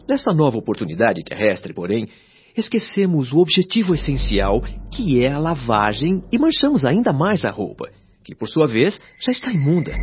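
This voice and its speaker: An actor with a Brazilian accent playing a patient, learned spirit guide in a radio drama, speaking formally and instructively. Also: male voice, mid-range voice, slight background noise, close to an everyday voice. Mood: earnest, instructive. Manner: solemn, articulate, instructive, formal diction. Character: male spirit guide Lísias, patient, earnest, learned teacher. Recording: dull lo-fi sound, faint music behind.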